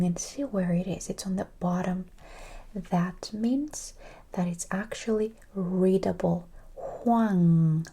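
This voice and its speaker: Woman whispering a korean lesson real slow, soft and encouraging, says wonderful a lot. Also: mid-range voice, husky. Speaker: Korean language teacher. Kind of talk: whispered ASMR language lesson.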